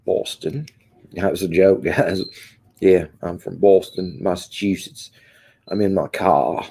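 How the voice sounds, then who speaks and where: Low, mellow Kentucky man chatting. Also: fairly even intonation, a little slow, creaky, slightly rough. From Kentucky, USA. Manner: rambling, answers chat comments, many ums. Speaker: livestream host. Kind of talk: casual live-chat stream.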